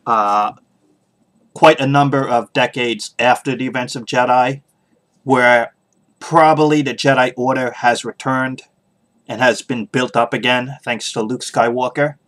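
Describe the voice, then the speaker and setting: A man hosting a podcast, giving casual solo opinions in a halting way, pausing often as he thinks aloud. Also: mid-range voice, steady tone, a bit bright. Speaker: podcast host.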